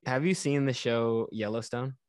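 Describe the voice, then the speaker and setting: A man from Texas, hosting a podcast in a relaxed, friendly way. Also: medium pitch, moderate pace, clear, resonant tone, dry recording, studio quality. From Texas, USA. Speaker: podcast host. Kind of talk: casual interview.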